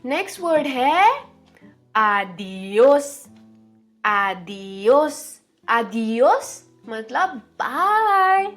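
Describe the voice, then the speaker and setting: A woman from India with a high voice gives an online Spanish lesson. She speaks very slowly and stretches each word as she drills it. Soft music plays faintly behind her. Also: sing-song. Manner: slow, drawn-out pronunciation drills, repeating words. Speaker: Spanish teacher.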